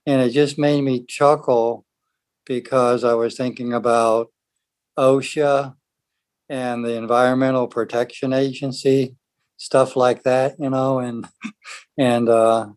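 A man talking casually in a book discussion group, in a halting, rambling way. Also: medium pitch, little pitch movement, darkish tone, dry recording. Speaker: book club participant.

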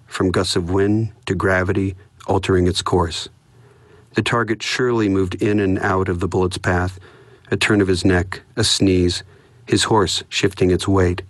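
A middle-aged man, an author, reading a passage and then answering questions in a measured, thoughtful way. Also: low-pitched, reverberant. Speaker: author discussing his biography.

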